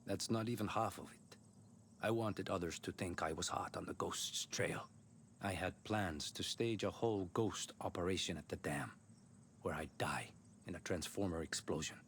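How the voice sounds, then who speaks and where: An actor playing a calm, cunning, laid-back mercenary commander in a video game. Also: male voice, low voice, normal speed, a little hiss or hum. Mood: measured, confiding. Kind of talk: video game cutscene acting.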